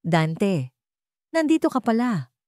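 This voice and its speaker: A woman's voice: medium pitch, sing-song, slow, a little mellow, no room sound, studio quality, voice pushed up. A woman voicing a stern, emotionless old male billionaire in an audio drama. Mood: plain greeting.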